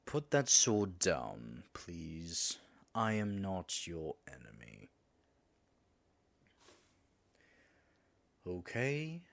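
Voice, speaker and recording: A man's voice: sits low, wildly swooping pitch, slow, gravelly, full character voice. A man playing a proud male dragon who guards his treasure, speaking in a dramatic, formal and wry manner in a scripted audio roleplay. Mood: pleading.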